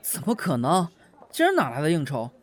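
A man's voice: a little high, wildly swooping pitch, brisk. A man playing a chatty, playful young office worker in an audio drama, teasing and eager. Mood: eager, insistent.

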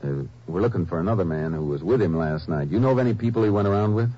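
Deep-voiced, grim lawman. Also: husky. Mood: firm. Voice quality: calm, low, measured. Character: steady, grim veteran lawman. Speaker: Texas Ranger and narrator. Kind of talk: 1950s radio drama acting. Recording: noisy, muffled old radio broadcast.

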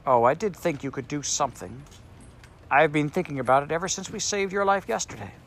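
Man reading a young girl, pitched up, pleading and eager, lively lilt. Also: brisk, a little hiss or hum.

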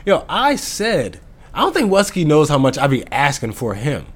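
A man's voice: a little high, wildly swooping pitch, moderate pace, breathy edge. A man co-hosting a podcast, chatting casually in a loose, profane and joking way. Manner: loose, profane, laughing banter. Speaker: podcast co-host. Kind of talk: casual chat. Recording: loud music playing behind.

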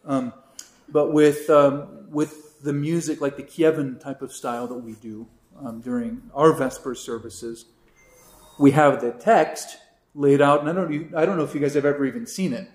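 A man's voice: mid-range voice, steady tone, moderate pace. A man, a priest, casually teaching a catechism class, rambling with many restarts and now and then singing a short chant line. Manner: rambling, many fillers and restarts, sings brief chant examples. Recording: roomy echo.

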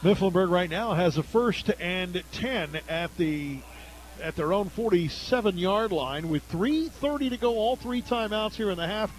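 An American man doing live radio play-by-play commentary of a high school football game. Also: animated, a little slow. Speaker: play-by-play announcer.

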